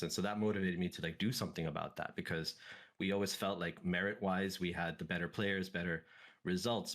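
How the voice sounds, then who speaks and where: A man in his thirties from Singapore, talking as a guest in a casual interview, relaxed and reflective with many fillers and restarts. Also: low voice. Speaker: esports team CEO, guest.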